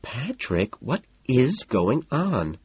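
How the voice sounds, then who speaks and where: A man's voice: medium pitch, big pitch swings, extremely slow, breathy edge, no room sound, highs cut off, pitched above the performer's own voice, heavily acted. A man voicing a whiny, fretful young society woman in an audiobook story, with a plaintive, sighing manner. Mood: confused, reproachful.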